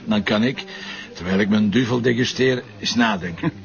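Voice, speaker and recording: An actor playing a dry, teasing, hard-drinking police commissioner in a TV crime drama, with a Flemish accent. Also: male voice, on the low side, normal speed, creaky. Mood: wry, teasing. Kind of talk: naturalistic TV crime drama acting. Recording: dull lo-fi TV audio, music behind.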